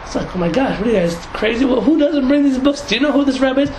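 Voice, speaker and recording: A young man from San Diego, a rabbi talking casually to a group, lively and laughing with many restarts and asides. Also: extremely high pitch, racing. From San Diego, United States. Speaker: rabbi teaching a class. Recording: echoey room, audible noise.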